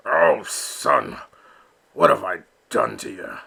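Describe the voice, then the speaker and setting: A man voicing an old, stubborn father duck who speaks gruffly and insistently. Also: mid-range voice, expressive, slow, pushed and bright, pitched below the performer's own voice, full character voice. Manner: gruff, insistent and distant-sounding.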